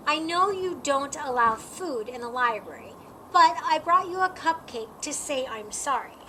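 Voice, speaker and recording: A woman voicing an excitable, earnest young schoolgirl who exclaims her lines in a children's storybook reading. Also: high-pitched, a little slow, exaggerated voice. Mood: apologetic. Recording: clearly noisy background.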